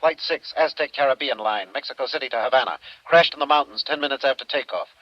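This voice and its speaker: A man's voice: mid-range voice, fast, no echo, lo-fi sound. An actor playing a terse, businesslike insurance man calling on the phone in an old radio drama.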